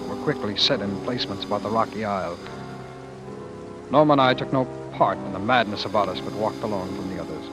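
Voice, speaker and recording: A man's voice: fairly low pitch, natural voice. An actor in an old-time radio play, voicing a defiant, bitter man fleeing war, in an emphatic theatrical manner. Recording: noisy old radio broadcast recording.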